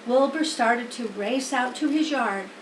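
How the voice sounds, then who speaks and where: A woman's voice: a little high, a little slow. A woman narrating a children's story, reading aloud from a book. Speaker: storybook reader. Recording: roomy, distant mic, faint background noise.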